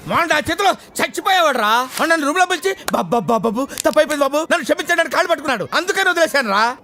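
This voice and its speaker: Comic film blusterer, middle-aged, very high voice, boastful, cowardly, repetitive exclamations, loud music behind. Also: male voice, lively intonation, racing, heavily acted. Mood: boastful ranting. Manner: theatrical, repeats words, exclaims. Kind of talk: Telugu film comedy acting.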